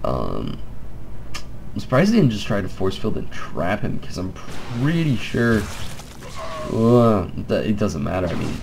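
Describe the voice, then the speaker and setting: A young man with a stuffed-up nose, giving mellow, quiet commentary on a strategy game with joking asides. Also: lowish voice, very animated, measured pace, vocal fry, slight background noise, poor audio. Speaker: game caster. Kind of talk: laid-back game commentary.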